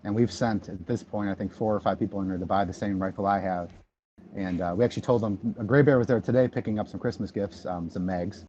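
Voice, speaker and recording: A man's voice: steady tone, fast, dark tone. A man in his thirties from the Detroit area, chatting casually on a podcast and rambling with many ums and restarts. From Michigan, near Detroit. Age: 30s. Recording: dull low-fi audio, faint noise.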